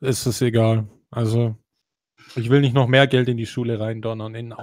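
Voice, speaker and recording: A man's voice: medium pitch, level tone, moderate pace, a little mellow, dry recording. A man co-hosting a podcast argues in a blunt, dismissive way. The recording is dry and close. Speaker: podcast co-host. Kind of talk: casual debate.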